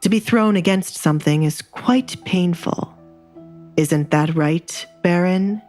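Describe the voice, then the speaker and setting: A woman's voice: on the low side, darkish tone, soft background music. Woman voicing a coolly menacing young duke. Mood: quietly threatening. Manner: formal, measured, veiled threats.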